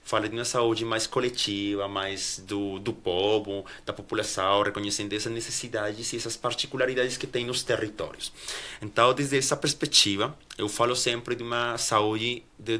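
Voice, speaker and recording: A Colombian man whose first language is Spanish, answering as a guest professor with a Colombian accent, halting, with many restarts. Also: fairly low pitch, bright, forceful tone. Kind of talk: academic interview answers.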